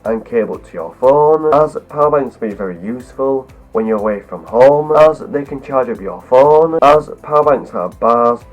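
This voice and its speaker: A man's voice: medium pitch, expressive, normal speed, hardly any pauses, soft background music, low-quality recording. A man with a cold, talking through a rambling explanation as a video host, stringing clause after clause together. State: has a cold, voice deeper than usual. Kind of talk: scripted talk to camera.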